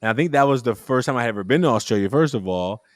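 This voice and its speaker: A man hosting a casual conversation, telling stories in a rambling, animated way with frequent restarts. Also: medium pitch, rapid-fire, dry recording, studio quality. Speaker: podcast host.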